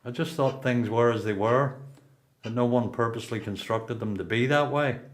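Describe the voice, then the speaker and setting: A seasoned male prisoner , acted by a man for a radio play. His voice sits at a middle pitch, and he speaks with reflective, questioning self-assurance. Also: normal speed, close to an everyday voice. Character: seasoned male prisoner, philosophical, probing, sure of himself.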